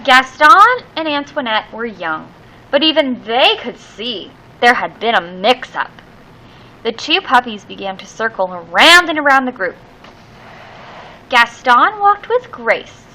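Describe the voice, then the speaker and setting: Lady reading kids a picture book, slow n bright, stretches out the big words. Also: fairly high pitch, sing-song, a little hiss or hum, poor audio. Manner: theatrical, drawn-out emphasis on key words. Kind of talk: expressive children's storybook read-aloud.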